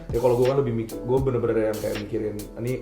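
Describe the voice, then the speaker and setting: A man's voice: medium pitch, fairly even intonation, racing. A young man with a Jakarta accent chats casually as an interviewed band member, mixing English slang into colloquial speech. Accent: Jakarta Indonesian. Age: young adult. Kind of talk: casual chat. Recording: roomy echo, music behind.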